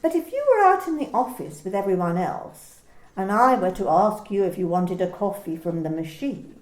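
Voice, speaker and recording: A woman's voice: mid-range voice, expressive, normal speed, darkish tone, lightly acted. A woman acting an officious, politely menacing manager in an amateur radio play, with formal, precise, probing questions. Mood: officious, pedantic. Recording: roomy, echoey home recording.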